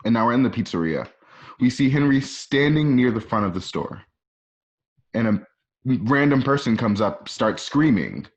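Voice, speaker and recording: A man's voice: mid-range voice, animated, moderate pace. A man reading out stage directions as the narrator of a radio play, in present-tense screenplay style. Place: at home. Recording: slightly dull home recording.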